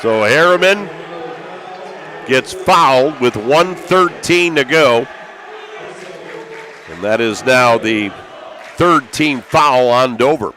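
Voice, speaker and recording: An American man doing live basketball play-by-play as a broadcaster, excitable and raising his voice with the action. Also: mid-range voice, takes it slowly, pauses often, some chatter behind. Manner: excitable, calls out action with raised voice. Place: courtside in high school gymnasium. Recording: live gym broadcast with background noise.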